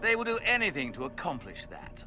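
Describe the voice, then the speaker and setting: A man's voice: on the high side, huge pitch swings, a little fast, over music. An actor playing a pompous, gloating nobleman mage villain in a fantasy game, with mocking theatrical delivery. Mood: smug, dry. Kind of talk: dramatic fantasy game voice acting.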